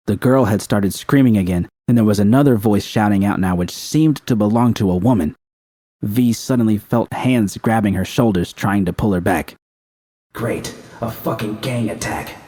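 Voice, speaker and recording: Deep, mellow male narrator. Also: no room sound. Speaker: audiobook narrator. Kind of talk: scripted fiction narration.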